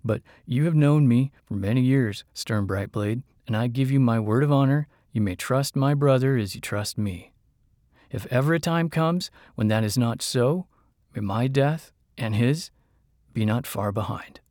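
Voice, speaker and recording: A man voicing a big, loyal, honest warrior with a husky voice and an earnest, halting delivery. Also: lowish voice, dry recording, clean studio sound. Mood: solemn, proud.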